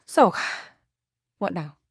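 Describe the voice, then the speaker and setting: An actress playing a wronged, determined young heiress in an audio drama, speaking with emotional emphasis. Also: female voice, very slow, a little mellow. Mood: quiet, resolved.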